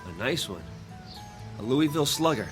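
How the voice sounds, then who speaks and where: Man acting stubborn everyman, blunt, sardonic, very animated intonation, mid-pitched, radio play, light music underneath. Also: moderate pace, close to an everyday voice. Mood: friendly instructing. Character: skeptical, stubborn, wisecracking out-of-work publishing man.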